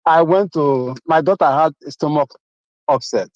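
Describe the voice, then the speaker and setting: A man's voice: a little high, moderate pace, a little mellow, no room sound. A middle-aged Nigerian man who phones in from abroad. He speaks emphatically and keeps repeating his main points. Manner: emphatic, repeats key phrases. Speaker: caller. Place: Atlanta, abroad. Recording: dull muffled phone line.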